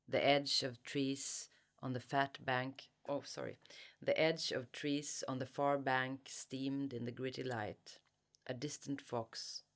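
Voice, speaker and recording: A woman with a Swedish accent, an author who speaks thoughtfully as an interview guest or reading from her own writing. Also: extremely low pitch. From Sweden. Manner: halting, searching for words. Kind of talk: thoughtful interview talk and reading aloud from a novel.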